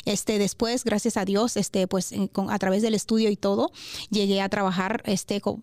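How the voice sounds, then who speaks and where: A woman talks as a podcast guest. Her pitch is average for a woman and the sound is dry, with no room echo. She speaks casually and personally, dropping in English words. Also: flat delivery, normal speed, a little mellow. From born in Honduras, grew up in Kentucky from age nine. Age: 30s. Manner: mixes English words into Spanish.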